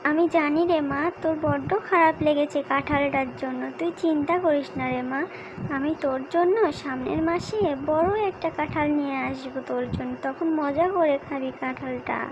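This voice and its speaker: A high-pitched cartoon mother, played by a woman, caring and easily worried. She coaxes and frets in a motherly way, with faint noise in the background. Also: steady tone, moderate pace, dull audio. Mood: consoling. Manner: fretful, coaxing, motherly. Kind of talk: children's cartoon story dubbing.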